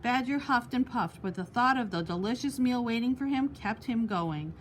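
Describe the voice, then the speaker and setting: A woman's voice: on the high side, lively intonation, normal speed, a little hiss or hum. A woman reading a children's picture book aloud expressively, as a storytime host. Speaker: storytime reader.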